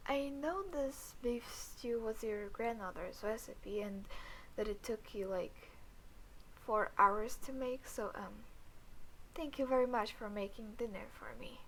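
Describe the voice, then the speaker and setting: A woman playing a scheming alien who poses as a sweet, awkward human girlfriend in an intimate roleplay audio, cool and halting, with murmured asides. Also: a little high, a bit bright, a little hiss or hum, low-quality recording. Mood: awkward, apologetic.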